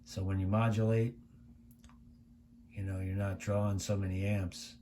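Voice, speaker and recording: American guy w a lowish voice doing a rambly casual gear review, some background noise. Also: measured pace. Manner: conversational, rambling, repeats himself. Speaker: radio reviewer. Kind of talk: casual unscripted product review. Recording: clear steady background noise.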